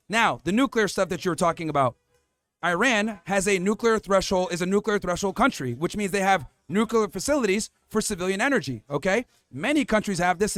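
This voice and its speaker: A man's voice: sits high, a bit bright, dry recording. A man hosting a street debate who argues assertively, laying out his case point by point. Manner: assertive, lists points by number, cuts in to hold the floor. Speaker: debate host.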